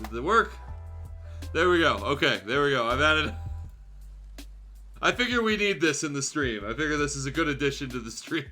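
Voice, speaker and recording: A man hosts a casual livestream reading with a very bright, forceful voice. His pitch swings widely as he throws in amused, sweary asides. Faint guitar music plays behind him. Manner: animated, amused, profane. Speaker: streamer hosting a fanfiction reading.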